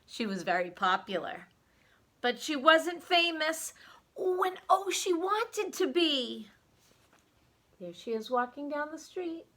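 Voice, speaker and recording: A woman storyteller with a bright, higher-pitched voice reads to young children. She is very expressive, leaning into words for drama and putting questions to the listener, and her pace is unhurried. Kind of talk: animated read-aloud storytelling for young children.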